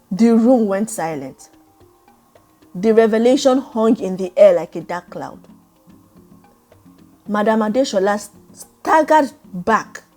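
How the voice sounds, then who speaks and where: A woman narrating a dramatic story in a measured, solemn way. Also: mid-range voice, dark tone. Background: background music.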